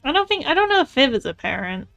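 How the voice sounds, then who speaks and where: A woman artist chatting casually while she draws, thinking out loud in a rambling, tentative way. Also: sits high, very animated, fast, vocal fry, highs cut off. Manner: rambling, musing aloud, tentative. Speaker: artist streamer. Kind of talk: casual chat while drawing. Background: music.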